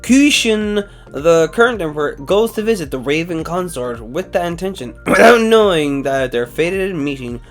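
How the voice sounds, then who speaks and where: Animated man reading anime synopses. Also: on the high side, normal speed, hardly any pauses, soft background music. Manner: animated, wondering aloud. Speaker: anime YouTuber. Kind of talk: reading synopses and casual commentary.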